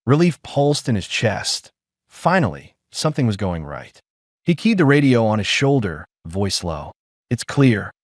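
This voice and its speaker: A man's voice: on the low side, no echo, studio quality. A man narrating a science fiction audiobook in dramatic storytelling style. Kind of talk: scripted narration.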